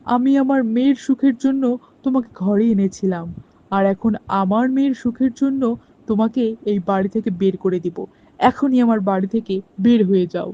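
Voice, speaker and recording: A woman's voice: fairly high pitch, a little fast, warm tone, pitched below the performer's own voice, close to an everyday voice. A woman voicing a stern farmer father in a cartoon story, speaking with indignant outrage. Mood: angry. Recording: dull lo-fi sound.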